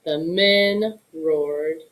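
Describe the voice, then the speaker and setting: A woman giving an expressive read-aloud narration of a children's story. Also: sits low, drawn-out words. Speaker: storybook reader.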